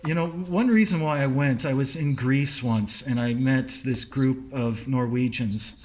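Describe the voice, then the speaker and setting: A man chatting casually as a podcast host, telling stories in an animated way. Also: medium pitch, a little slow, a little hiss or hum. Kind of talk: casual chat.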